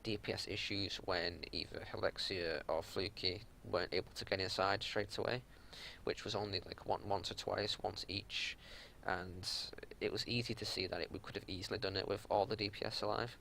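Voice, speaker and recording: A man giving unscripted gameplay commentary as a raid leader, explaining tactics in a matter-of-fact way over a home recording with some low rumbling noise. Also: little pitch movement, moderate pace, creaky, pushed and bright.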